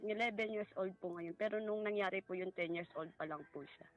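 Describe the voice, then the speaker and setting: A woman with a Filipino accent giving a personal account in a halting, polite way, as a guest in conversation. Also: mid-range voice, lo-fi sound. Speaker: guest recounting a family story. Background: music.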